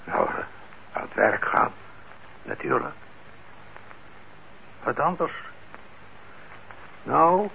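An actor playing a kindly, gruff older shopkeeper and father in a radio drama, talking in a gravelly voice with hesitant pauses. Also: fairly high pitch, sing-song, pushed and bright, full character voice. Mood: gruffly kind. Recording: dull, noisy old radio recording.

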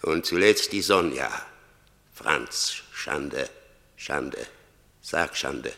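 Death as a stern, mocking judge in an old radio drama. The voice is extremely deep and forceful, declaiming and repeating words for weight. It rings in a long, cavernous reverb. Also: male voice, animated, gravelly, exaggerated voice. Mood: accusing, scornful. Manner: declamatory, emphatic, slow rhetorical repetition.

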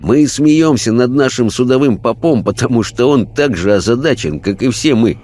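A man voicing a rough, irreverent dead sailor in an audiobook, with coarse, colloquial speech. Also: medium pitch, expressive, normal speed, mellow, soft background music, voice pushed up, lightly acted. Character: rough, cheeky, slangy dead sailor, male.

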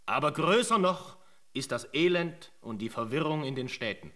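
A man narrating a German radio drama as a solemn chronicler, in measured, scripted literary sentences. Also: on the high side, animated, fairly bright tone. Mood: grave. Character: solemn male chronicler of an emigrant's tale.

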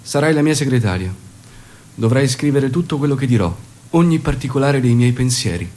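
An actor playing a commanding, shrewd guerrilla leader in a stage drama, speaking in a measured, authoritative way. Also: male voice, mid-range voice, expressive, mellow, close to an everyday voice. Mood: commanding, instructive. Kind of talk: theatrical dramatic acting and monologue.

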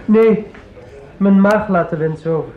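A man's voice: sits high, unhurried. An actor playing a troubled, reserved young man, a grown son, in a radio drama. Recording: dull, noisy old radio play recording.

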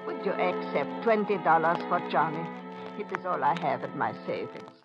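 Performer as kindly old widow, humble letter-reading, vintage radio acting, dull sound, loud music bed. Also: medium pitch, lively intonation, moderate pace, close to an everyday voice. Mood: humble, earnest. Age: older.

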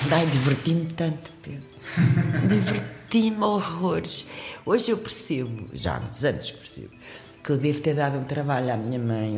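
An older woman from Mozambique, a singer recalling her youth in a lively storytelling manner with frequent laughs. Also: reverberant. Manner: lively storytelling, laughs often, shifts pitch when quoting. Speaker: guest singer. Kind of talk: reminiscing interview talk.

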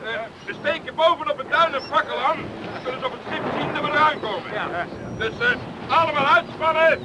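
An actor playing a gruff, decisive lifeboat skipper who barks blunt orders in a radio play. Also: male voice, very high-pitched, fairly quick. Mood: urgent command. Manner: commanding, blunt, barking orders. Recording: noisy outdoor storm sound effects.

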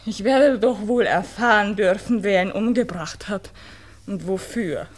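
An actress playing a distraught, lovesick young daughter in a radio drama, insistently pressing short, urgent questions. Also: female voice, mid-range voice, a little slow, natural voice. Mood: desperate, demanding. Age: young adult. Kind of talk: 1960s German-language radio drama acting.

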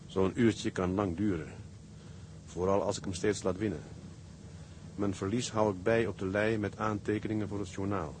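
Casting: a man for a tender sailor narrating letters home, fairly low, intimate and measured, over clear shipboard noise. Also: steady tone, darkish tone, natural voice. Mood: tender, reflective. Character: young seaman writing home tenderly to his sweetheart. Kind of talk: radio drama letter narration.

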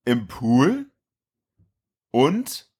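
A man's voice: medium pitch, big pitch swings, drawn-out words, darkish tone, slightly rough. A man co-hosting a podcast, recapping and chatting about a film in a casual, colloquial way. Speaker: podcast co-host.

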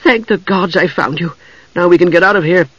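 Man putting on a high-voiced, spirited young woman. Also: animated, fairly bright tone, breathy edge, no echo, dull audio. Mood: relieved, wary. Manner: emotional, quick to flare.

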